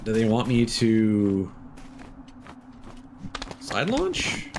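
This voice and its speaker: A man's voice: on the low side, wildly swooping pitch, very slow, darkish tone, slight background noise. A man giving casual, rambling commentary as he streams a video game live.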